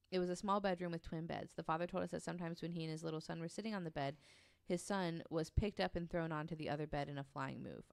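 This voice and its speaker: Low voiced woman telling a story on a podcast, kinda hesitant, smooth but fry at the edges, super dry sound. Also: dry recording. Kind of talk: casual storytelling among co-hosts, reading quotes aloud.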